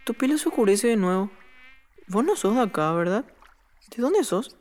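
A woman's voice: medium pitch, sing-song, moderate pace, darkish tone, close to an everyday voice. A woman playing a lonely, skeptical ten-year-old boy in an audio drama. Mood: wary, puzzled.

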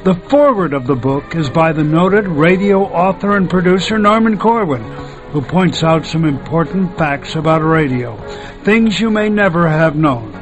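A man's voice: fairly high pitch, lively intonation, moderate pace, poor audio. An older American man, a radio host, reading a scripted promotional narration. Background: music.

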